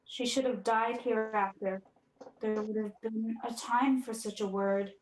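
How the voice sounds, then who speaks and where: A young woman plays a defiant tyrant king in a Shakespeare radio drama. The voice is fairly high and commanding, declaiming verse in a very echoey room. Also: steady tone, unhurried, darkish tone, a little hiss or hum, lightly acted. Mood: bleak. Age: young adult. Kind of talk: 1940s radio play Shakespeare acting.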